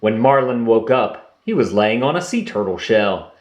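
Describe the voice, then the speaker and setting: A man reading a children's storybook aloud in an expressive storytelling manner. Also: on the low side, moderate pace. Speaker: storybook reader. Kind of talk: children's read-aloud narration. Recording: roomy echo.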